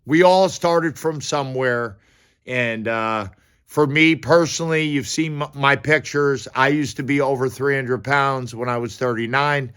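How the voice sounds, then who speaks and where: A man's voice: medium pitch, measured pace, a bit bright, clean tone. A man in his fifties giving casual motivational fitness talk, speaking in an informal, blunt and encouraging way with slangy turns of phrase. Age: 50s. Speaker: fitness vlogger. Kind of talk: casual motivational talk to camera during a morning workout.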